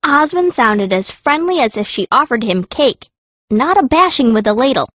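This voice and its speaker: A woman's voice: sits high, very animated, moderate pace, fairly bright tone, dry recording, exaggerated voice. A woman playing a fierce, wry teenage girl mage, narrating her own story in an audiobook. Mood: wry storytelling. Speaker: first-person narrator.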